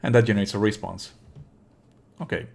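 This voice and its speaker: A man livestreaming casual game commentary, opinionated and scornful, swearing and explaining in a halting way with repeated phrases. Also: fairly low pitch, fairly even intonation, vocal fry, darkish tone.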